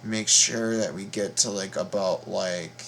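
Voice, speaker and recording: A very tired man giving casual reaction commentary, halting and rambling as he repeats his words. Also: deep voice, gravelly, a bit bright, slight background noise. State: very tired, half asleep. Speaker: video host reacting to game voice lines.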